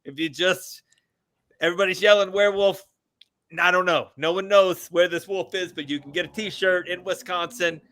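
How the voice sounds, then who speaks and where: A man's voice: high voice, normal speed, bright, forceful tone, dry recording. A man in his forties co-hosting a casual podcast, crude and joking as he rambles through his segment. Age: 40s. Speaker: podcast co-host. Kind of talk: casual banter.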